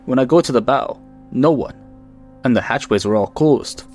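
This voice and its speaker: An actor playing a frightened, urgent ship's mate in an old-time radio play. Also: male voice, medium pitch, moderate pace, soft background music, natural voice. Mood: alarmed. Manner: urgent, excitable.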